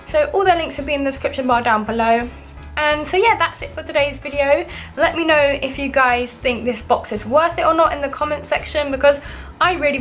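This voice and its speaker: A woman's voice: high-pitched, fairly bright tone. A young woman gushing enthusiastically as she unboxes and chats to her viewers. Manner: gushing, enthusiastic, repeats "really, really". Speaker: vlogger. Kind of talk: casual unboxing chat.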